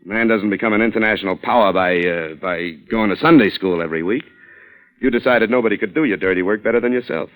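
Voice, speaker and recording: A man's voice: on the low side, fast talker, a bit bright, close to an everyday voice, poor audio. A man playing a shrewd, defiant reporter in an old-time radio suspense drama, pointed and wry as he speaks. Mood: accusing, wry. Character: hard-boiled male reporter, shrewd, defiant, accusing. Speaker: lead actor and narrator. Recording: vintage radio broadcast sound.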